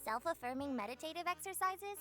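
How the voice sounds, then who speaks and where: A woman's voice: high-pitched, expressive, brisk, a bit bright, soft background music, pitched above the performer's own voice. A woman voicing a tiny, prim, preachy pixie guide from a visual novel, pompous and theatrical. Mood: condescending. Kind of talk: visual novel character voice acting.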